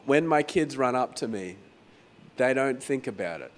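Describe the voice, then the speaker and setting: A man preaching a sermon as pastor in a croaky, hoarse voice, talking conversationally and repeating phrases for emphasis. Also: medium pitch, lively intonation, moderate pace. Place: venue hall during a church service.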